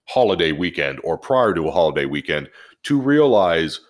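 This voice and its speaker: A deep-voiced American man co-hosts a casual podcast. He speaks bluntly and emphatically, with salty language, like a service worker venting among colleagues. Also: sing-song, normal speed. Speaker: podcast co-host; auto service advisor. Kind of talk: casual group chat, venting.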